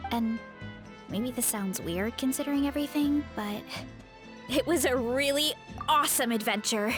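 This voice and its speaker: A woman's voice: a little high, moderate pace, fairly bright tone, soft background music, close to an everyday voice. A woman voicing a cheerful, hungry young heroine in a game, friendly and a little hesitant. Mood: warm.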